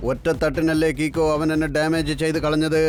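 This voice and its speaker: A man voicing a loyal, matter-of-fact talking car in a children's cartoon, monotone. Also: fairly high pitch, fairly quick, a little hiss or hum, close to an everyday voice. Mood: matter-of-fact, concerned. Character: loyal talking superhero car, male sidekick. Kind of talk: dubbed children's cartoon acting. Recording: music behind the voice.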